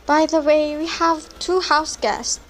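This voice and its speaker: A polite, agreeable young woman neighbour played by a teenage girl, with a high voice. The delivery is amateur one-act play acting. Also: flat delivery, a little slow, slight background noise. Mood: pleasant.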